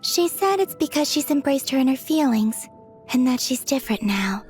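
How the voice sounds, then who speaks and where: A very high-voiced, dreamy young game maiden, speaks softly and sincerely. She is unhurried and innocently curious, with faint music underneath. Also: lively intonation. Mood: tender, curious. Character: gentle, sincere, dreamy young woman devoted to her friend. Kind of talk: scripted game character voice lines.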